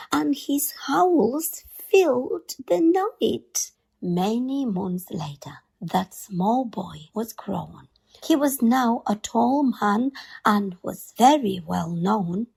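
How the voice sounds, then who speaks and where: A woman reading a children's picture book aloud in an expressive storytime style, with deliberate, dramatic emphasis on key words. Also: on the high side, vocal fry, breathy edge.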